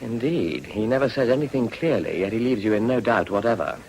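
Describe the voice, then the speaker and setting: A man playing a witty, idle gentleman politician in a period radio drama, urbane and dry, with an upper-class English accent. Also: fairly low pitch, lively intonation, a little fast, poor audio. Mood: wry. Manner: urbane, dry, teasing, articulate.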